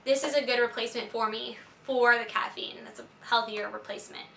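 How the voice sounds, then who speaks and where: A young American woman speaks in a fairly high, bright voice with a slight rasp. She chats casually like a lifestyle vlogger, gushing and stressing her points. The sound is echoey, as if in a room at home. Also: steady tone, fairly quick. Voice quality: slightly raspy. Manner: gushing, informal, emphatic. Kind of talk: casual chatty product favorites video. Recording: roomy echo, faint background noise.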